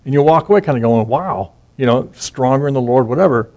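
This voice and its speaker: A man teaches on scripture in a relaxed, conversational manner. His voice sits at a middle pitch. He often restarts phrases and adds asides while he explains. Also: a little fast. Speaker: Bible teacher. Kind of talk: informal Bible teaching.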